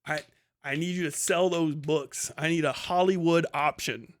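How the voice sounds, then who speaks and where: A man's voice: fairly high pitch, fairly even intonation, normal speed. A man hosting a casual, unscripted livestream commentary, rambling along in slangy, profanity-laced talk. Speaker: livestream host.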